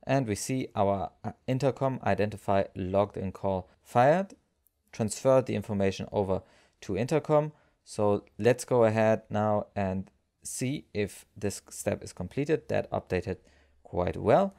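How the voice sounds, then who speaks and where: A man narrating a software tutorial as its presenter, explaining things step by step. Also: fairly low pitch, measured pace, gravelly.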